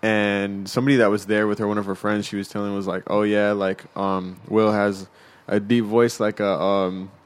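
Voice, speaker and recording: A man's voice: on the low side, little pitch movement, normal speed. A man in his twenties co-hosting a podcast, telling stories full of fillers and slang. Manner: rambling storytelling, lots of "like". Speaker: podcast co-host. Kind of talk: casual podcast chat.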